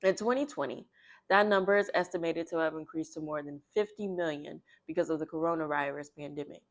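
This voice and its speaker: A woman hosting a children's read-aloud, speaking in an expressive, emphatic storyteller's manner. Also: low voice, fairly quick.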